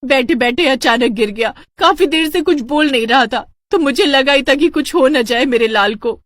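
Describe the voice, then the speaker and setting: A woman's voice: sits high, normal speed, dry recording, clean studio sound, voice pushed up. A woman voicing a domineering, superstitious village wife and mother in a cartoon story, nagging and insistent. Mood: alarmed, distressed. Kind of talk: Hindi cartoon story dialogue.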